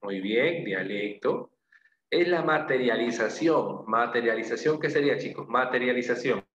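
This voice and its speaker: A man's voice: medium pitch, animated, moderate pace, a bit bright. Male teacher, exam-prep class, question-and-answer delivery with frequent tag questions; dull recording with faint background noise. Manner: questioning, repeats answers and confirms them with short praise and tag questions. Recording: echoey room, dull lo-fi sound, faint noise.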